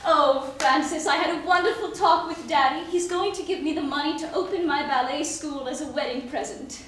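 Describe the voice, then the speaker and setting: Woman playing a haughty, high-voiced society fiancée. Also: a little hiss or hum. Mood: gushing. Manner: grand, theatrical, brisk and bossy. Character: haughty, domineering, status-obsessed young society fiancée. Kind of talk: musical theatre stage acting and singing. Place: staged reading before a live audience. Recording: echoey live stage, distant mic, loud music.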